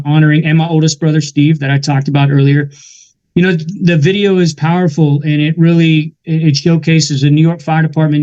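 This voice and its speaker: A man in his forties, a veteran and musician, telling his story in an earnest, steady way during a casual interview. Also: a little high, lo-fi sound. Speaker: guest musician and army veteran.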